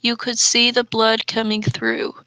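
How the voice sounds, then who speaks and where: Girl reading cringey fanfic, slow and halting, bright sharp voice, really dry sound. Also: on the high side, very animated, clear, resonant tone, no echo, low-quality recording. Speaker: co-host reading and mocking fan fiction. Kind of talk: casual comedic reading aloud.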